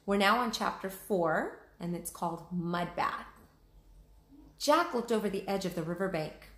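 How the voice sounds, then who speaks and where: A woman's voice: medium pitch, lively intonation, measured pace, a bit bright. A woman hosting a read-aloud, narrating a children's storybook expressively. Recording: echoey room.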